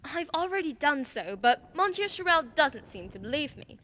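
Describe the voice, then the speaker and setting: A high, bright voice gives us a woman acting a clever female detective in a radio play. She speaks formally and stays composed and unruffled. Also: very animated, normal speed, slight background noise, exaggerated voice.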